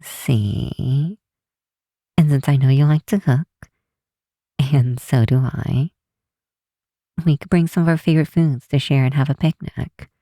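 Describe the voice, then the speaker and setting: A woman's voice: a bass voice, unhurried, pauses often, mellow, no echo, clean studio sound, exaggerated voice. A woman voicing an affectionate, bookish vampire researcher in an ASMR roleplay, talking tenderly and teasingly with small hesitations. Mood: playful, affectionate. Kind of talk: ASMR roleplay, one side of a late-night phone call.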